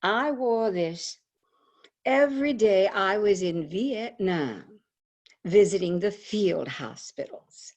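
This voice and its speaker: An actress playing a frank, patriotic woman advice columnist in a theatrical monologue, confiding and dramatic with deliberate pauses. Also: mid-range voice, lively intonation, a little mellow. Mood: breezy, confiding. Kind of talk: theatrical monologue, staged reading. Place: indoors, remote video call. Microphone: video call microphone.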